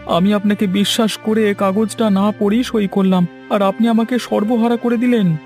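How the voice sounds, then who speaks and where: A man's voice: high voice, steady tone, moderate pace, a little mellow. A man voicing an earnest, naive villager down on his luck in a cartoon fairy tale, with a plaintive way of talking. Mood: shocked, pleading. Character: naive, debt-ridden male villager, earnest and easily fooled. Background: background music.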